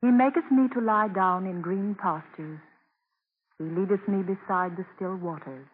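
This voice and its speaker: A woman's voice: mid-range voice, moderate pace, slight background noise, close to an everyday voice. Lady as patient genteel merchant's wife, formal, mid voice, tinny old radio. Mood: reverent. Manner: formal, patient, recites carefully. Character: gracious, patient seventeenth-century English merchant's wife. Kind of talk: 1940s radio acting.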